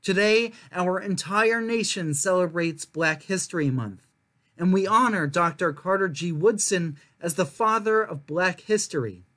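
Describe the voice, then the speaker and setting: A man's voice: high voice, unhurried, fairly bright tone. A young adult man reading aloud as a children's storytime host, with careful, emphatic delivery. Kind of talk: reading a picture book aloud to viewers.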